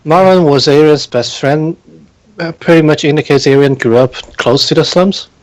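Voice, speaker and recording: A man with a fairly high voice talks as one of the players at a tabletop game. He speaks in an informal, conversational way. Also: moderate pace, poor audio. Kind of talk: casual chat.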